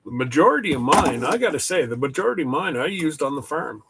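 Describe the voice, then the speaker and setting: A man hosting a casual rambling chat, talking slowly with many pauses and restarts, his voice stuffed up from hay fever. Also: a little high, animated, noisy background. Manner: slow, halting, with many restarts. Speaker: channel host. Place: workshop.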